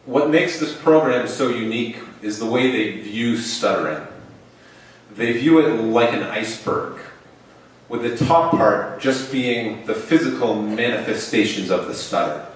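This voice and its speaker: A man's voice: mid-range voice, animated, unhurried. A young man telling a personal story on stage. He stutters, openly repeating and stretching the first sounds of words. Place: stage in a large hall. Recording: echoey hall, mic far off.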